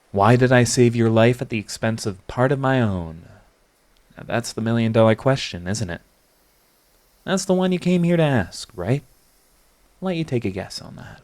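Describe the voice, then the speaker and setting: A man's voice: medium pitch, expressive, normal speed, a little mellow, no room sound, close to an everyday voice. A man playing a proud villain who is secretly devoted to his rival, in an intimate, emotional audio roleplay. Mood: flustered, guarded. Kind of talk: dramatic ASMR audio roleplay.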